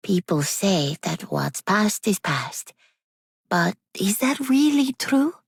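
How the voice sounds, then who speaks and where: A woman voicing a haunted but resolute young woman for a video game, grave and deliberate. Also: mid-range voice, sing-song, vocal fry, slightly rough, no echo, heavily acted. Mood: somber.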